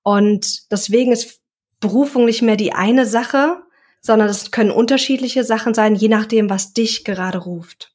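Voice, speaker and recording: A woman's voice: a little high, moderate pace, darkish tone. Reflective young woman in an interview. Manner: reflective, searching for words. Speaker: guest, self-employed coach. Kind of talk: casual interview talk.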